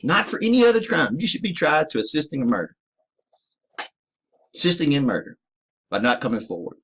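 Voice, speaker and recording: A man's voice: on the high side, huge pitch swings, fairly quick, slightly rough. A man from the United States, a military veteran, delivering a profane, emphatic ranting monologue to his listeners. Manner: profane, emphatic, addresses listeners as ladies and gentlemen.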